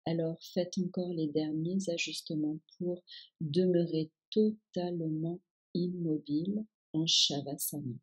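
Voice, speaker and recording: Female meditation guide, low-pitched and very unhurried, calm and soothing delivery of relaxation instructions. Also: slightly rough, dull audio. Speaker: yoga nidra guide.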